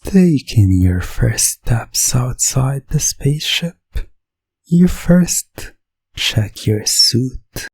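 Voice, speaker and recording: Male hypnosis narrator for sci-fi ASMR roleplay: mellow, husky, deliberate delivery that slips toward whispering. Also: medium pitch, sing-song, dry recording. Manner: deliberate, lulling, repeating phrases, often dropping to a whisper.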